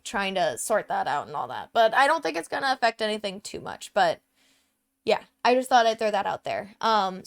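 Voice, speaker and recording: A woman giving casual gameplay commentary into a desk microphone at home, chatty and full of "um" and "like". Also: medium pitch. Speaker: let's play gamer.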